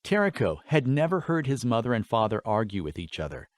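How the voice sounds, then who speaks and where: A man narrating a fantasy story in an expressive, scripted audiobook style. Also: medium pitch, brisk, dry recording, studio quality.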